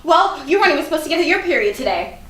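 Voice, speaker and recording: High-pitched anxious young woman, played by an actress. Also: sing-song, racing, a bit bright. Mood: panicked, defensive. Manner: self-doubting, repetitive, swearing. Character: anxious, guilty young woman agonizing over her messages. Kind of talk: theatrical staged reading of a comic play. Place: live staged reading in an echoey room. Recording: echoey, noisy stage recording from far off.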